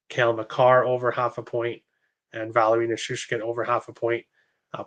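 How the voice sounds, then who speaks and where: A man on a sports betting show giving his picks in a plain, steady way, with a lot of fillers. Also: medium pitch. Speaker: guest betting analyst. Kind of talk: casual sports betting chat.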